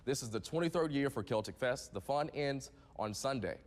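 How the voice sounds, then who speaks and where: A male news anchor with an American accent reads from a script for a morning TV broadcast. His pitch sits in the middle of the male range, and a long reverb trails his voice. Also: lively intonation, moderate pace. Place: TV news studio.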